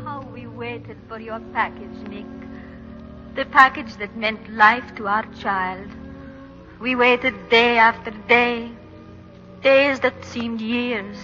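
Grieving mother, bright voice, long reverb. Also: fairly high pitch. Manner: solemn, heartfelt, measured. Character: grieving Greek mother writing to her brother in America. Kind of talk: 1940s radio drama, letter narration. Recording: dull 1940s radio, long reverb, music.

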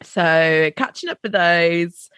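A young woman, co-hosting a casual podcast chat, her voice croaky and tired, laughing and casual. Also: lowish voice, animated, slow, fairly bright tone, dry recording. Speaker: podcast co-host.

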